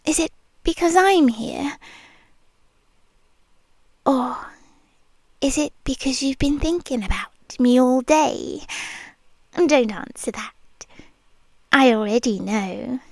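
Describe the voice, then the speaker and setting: A woman's voice: sits high, lively intonation, creaky, a little mellow, no echo, exaggerated voice. A woman with a British accent voicing an obsessive, possessive young nurse in a whispered ASMR roleplay, speaking in an intimate, teasing murmur. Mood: whispery possessive. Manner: slow, intimate, teasing purr with frequent whispers.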